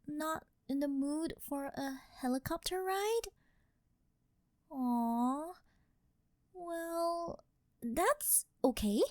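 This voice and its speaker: Woman acting a high-voiced, doting rich yandere girlfriend. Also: darkish tone, no echo, clean studio sound. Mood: gently disappointed. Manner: coaxing, sugary, drawn-out pet-name delivery. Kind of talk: ASMR girlfriend roleplay.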